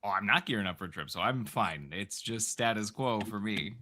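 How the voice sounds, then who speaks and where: A man's voice: on the low side, expressive, fairly quick, sharp tone, slight background noise. A man co-hosting a podcast with casual banter. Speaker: podcast co-host.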